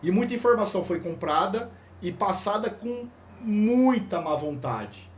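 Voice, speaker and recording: A Brazilian man with a high voice leads a casual spoken lesson, full of slang and restarts as he explains. A faint hiss of noise sits under his voice. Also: big pitch swings, unhurried. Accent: Brazilian Portuguese. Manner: informal, slangy, rambling with restarts. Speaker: course instructor.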